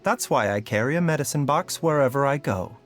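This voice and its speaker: A gentle, scholarly doctor from a video game, acted by a man, speaks with polite, measured formality. His mid-pitched voice moves expressively over music. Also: animated, natural voice. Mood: courteous, composed. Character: gentle, courteous adult male doctor, calm and scholarly. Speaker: game character voice actor. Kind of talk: scripted game voice lines. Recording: music behind the voice.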